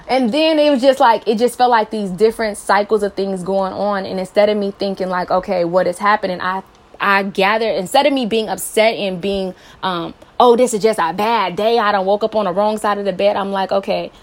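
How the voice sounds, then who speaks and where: Earnest woman in her late twenties. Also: medium pitch. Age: late 20s. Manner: earnest, encouraging, many rhetorical questions. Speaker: podcast host. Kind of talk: personal advice monologue.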